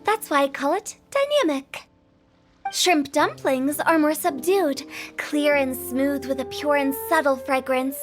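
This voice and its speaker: A woman's voice: high-pitched, lively intonation, unhurried, music in the background. A woman voicing a playful, self-assured young eccentric in an anime-style video game, with a theatrical, whimsical delivery. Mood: lecturing.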